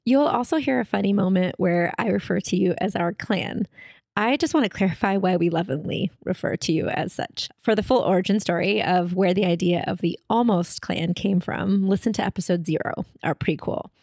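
Woman, podcast co-host, gravelly fry, dry close sound, chatty, playful. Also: mid-range voice, moderate pace, a little mellow, no echo. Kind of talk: casual chat.

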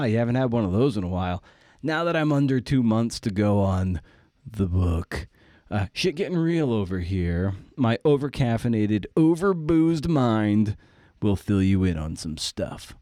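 A man's voice: on the low side, mellow, no echo, studio quality. A man hosting a podcast, chatting casually through an introduction in an irreverent, slangy, self-deprecating way. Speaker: podcast host.

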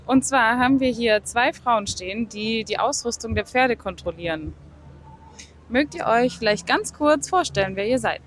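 A woman's voice: a little high, lively intonation, moderate pace, a bit bright, soft background music. A woman co-host at a horse show, asking earnest follow-up questions in an interview. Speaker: podcast co-host. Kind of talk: on-location interview.

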